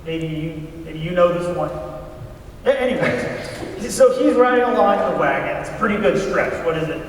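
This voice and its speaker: A man's voice: high voice, expressive, moderate pace. A man acting as a casual, folksy yarn-spinner, telling an anecdote on stage in a chatty, conversational way. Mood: amused. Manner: conversational, checks with listeners. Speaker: storyteller in a readers theater. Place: large hall, live stage performance. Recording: very echoey hall, distant mic, faint noise.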